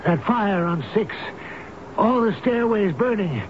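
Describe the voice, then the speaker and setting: A slow, pleading old elevator man. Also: sits high, animated. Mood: pleading, distressed. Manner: halting, earnest, folksy, tender. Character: gentle, loyal, pleading old elevator operator, simple-hearted. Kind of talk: 1970s radio drama acting. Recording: noisy muffled old radio broadcast recording.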